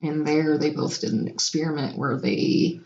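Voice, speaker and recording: Female podcast co-host, lowish voice, animated and reactive, casual swearing, roomy echoey recording. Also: normal speed, vocal fry. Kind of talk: casual chat. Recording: dull, lo-fi, echoey room.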